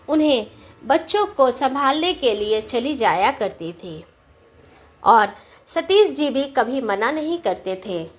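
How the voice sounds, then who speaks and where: A woman storyteller reading a sentimental family story as scripted narration, with measured, deliberate phrasing. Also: high voice, fairly bright tone, slight background noise.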